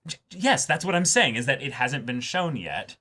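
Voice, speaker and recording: A man's voice: medium pitch, big pitch swings, moderate pace, bright, forceful tone. A man hosting an anime discussion show, talking casually and analytically, stressing and repeating his points insistently.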